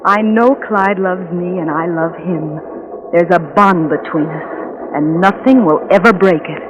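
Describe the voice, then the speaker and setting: A woman's voice: lowish voice, unhurried, highs cut off, music in the background, close to an everyday voice. A woman playing an anxious, lovesick and possessive young woman in a 1940s radio drama, emotional and insistent, with hesitations. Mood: devoted, confident. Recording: noisy vintage 1940s radio recording.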